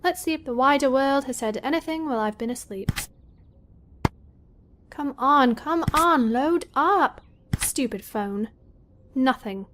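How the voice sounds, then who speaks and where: A woman's voice: high voice, fairly bright tone, a little hiss or hum, exaggerated voice. A woman playing a sardonic, self-critical seventeen-year-old college girl in an audio drama, with a wry, grumbling inner monologue. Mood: impatient, grumbling.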